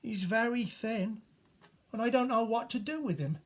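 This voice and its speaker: A man's voice: very high voice, expressive, moderate pace, voice pushed up. A man voicing a worried, complaining tinker's wife in a children's storybook, fretting through a list of troubles. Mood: fretful, worried. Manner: fretful, listing troubles.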